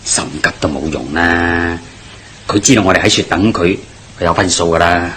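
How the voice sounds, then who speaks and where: A man's voice: low voice, moderate pace, a bit bright, slight background noise. An actor playing a good-natured, nosy village man who jokes around in a Hong Kong Cantonese radio drama. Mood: subdued, reassuring. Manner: chatty, inquisitive, colloquial.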